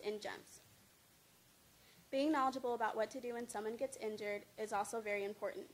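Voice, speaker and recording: A young woman with a fairly high voice delivers a prepared informative speech as a student. She reads it aloud from notes into a microphone on a stand. Noticeable background noise sits behind her words. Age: young adult. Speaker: student speaker. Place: indoor studio with green screen. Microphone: handheld-type mic on a stand at a lectern. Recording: clear background noise, room ambience.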